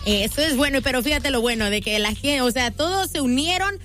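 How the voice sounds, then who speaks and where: A woman's voice: high voice, animated, fairly quick, a bit bright. A woman radio DJ, hosting a live interview in an effusive, upbeat way. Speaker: radio DJ host. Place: radio studio.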